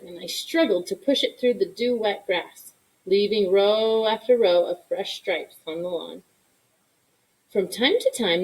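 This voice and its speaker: A woman reading a children's story aloud in an expressive style, with deliberate, dramatic emphasis. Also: medium pitch, poor audio. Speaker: storybook reader.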